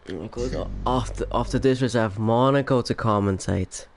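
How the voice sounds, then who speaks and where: A man's voice: medium pitch, normal speed, slight background noise. A young man with a Polish accent mixed with Finnish, chatting casually and rambling on a voice call before commentating a race, tired from no sleep. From Poland. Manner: rambling, laughs often, trails off quietly. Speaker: racing league commentator. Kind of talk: casual chat.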